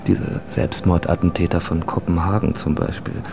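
An actor playing a calmly menacing, philosophising stranger in a radio drama, deliberate, probing and insistent. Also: male voice, low-pitched, creaky, background noise. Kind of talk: German radio drama acting.

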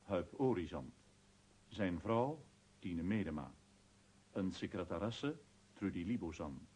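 A husky-voiced man reading a cast list as a formal radio announcer. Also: sits low, expressive, slow pace, dark tone, slight background noise. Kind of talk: scripted credit reading.

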